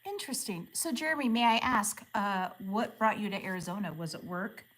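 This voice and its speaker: A woman in her forties chatting casually with viewers on a livestream, asking friendly questions and murmuring softly when she reads comments. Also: medium pitch, fairly quick, rough recording. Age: 40s. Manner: drops to a soft murmur when reading comments aloud. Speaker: live streamer.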